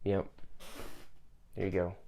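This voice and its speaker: A man's voice: sits low, monotone, slow, vocal fry, lo-fi sound, slight background noise. A man reviewing watches casually, thinking aloud with restarts, his voice a bit off from a cold.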